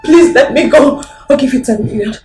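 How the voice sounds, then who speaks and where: A woman's voice: high-pitched, moderate pace, darkish tone, far from the mic, lightly acted. An actress with a Nigerian accent playing a terrified, wealthy captive woman who begs and stammers in a film drama. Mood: desperate, tearful. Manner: begging, stammering, repetitive. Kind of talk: melodramatic film acting. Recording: echoey, off-mic, music underneath.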